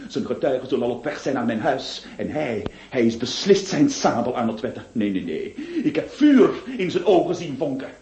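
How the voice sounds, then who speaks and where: An actor playing a panicky, flustered gentleman who stammers and exclaims in a Dutch-language radio play. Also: mid-range voice, very animated, moderate pace, background noise. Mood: anxious. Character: panicky near-sighted gentleman in trouble over a duel. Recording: dull lo-fi radio recording.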